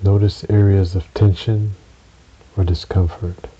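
A man narrating a guided relaxation meditation in a soothing, measured way, with long pauses between short phrases. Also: low voice, little pitch movement, warm tone, breathy edge. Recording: dull recording with audible hiss.

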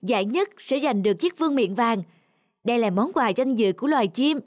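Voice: mid-range voice, lively intonation, fairly quick, no echo, clean studio sound, lightly acted. An actor voicing a pompous bird contest master in a cartoon dub, with music behind. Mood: ceremonial. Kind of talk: cartoon dubbing. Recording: loud music under the voice.

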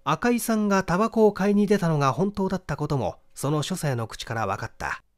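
Man reading a mystery novel, expressive intonation. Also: fairly high pitch, brisk. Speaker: audiobook reader, small-theater actor. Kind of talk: dramatic scripted narration of a classic mystery novel.

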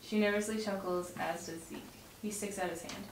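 A woman's voice: medium pitch, steady tone, fairly quick. A young woman reading stage directions aloud from a script at a table read in a room. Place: home dining room, group around a table. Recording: roomy, distant mic, loud noise and clicks.

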